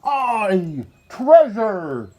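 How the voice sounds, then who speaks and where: A man voicing an eager, rowdy storybook pirate crew with loud, drawn-out shouts. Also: sits high, sing-song, voice pushed up, full character voice.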